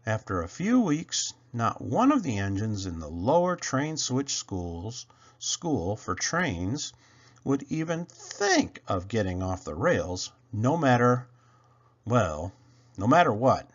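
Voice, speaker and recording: A man's voice: medium pitch, big pitch swings, slow, dull audio. A man reading a children's storybook aloud as narrator, emphatic and drawing out key words. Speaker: storybook reader.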